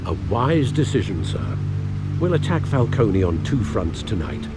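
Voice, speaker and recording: An older man with a British accent playing a loyal, caring elderly butler in a video game, measured, formal and earnest. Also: medium pitch, big pitch swings. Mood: concerned, deliberate. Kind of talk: dramatic video game voice acting. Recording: music behind the voice.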